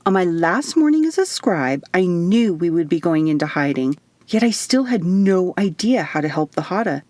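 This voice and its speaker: A woman's voice: lowish voice, sing-song, moderate pace, a little mellow, dry recording. Narrator woman playing bouncy childlike otherworldly woman, gushing, emphatic, swooping intonation, storybook fantasy. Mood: earnest. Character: bubbly, excitable, childlike otherworldly woman, naively earnest.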